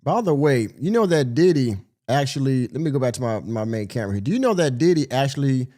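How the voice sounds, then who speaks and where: A man's voice: medium pitch, animated, quick pace, dark tone. A man with a cold, sounding nasal, casually hosting a livestream and talking loosely to his viewers. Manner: loose, rambling, talks to viewers. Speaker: podcast host.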